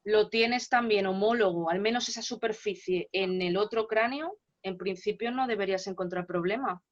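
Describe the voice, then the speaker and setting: A woman with a Spanish accent, a guest lecturer answering questions in an online seminar, explaining in a brisk, assured way. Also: mid-range voice. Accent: Spain Spanish. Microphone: video call. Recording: dull lo-fi call audio.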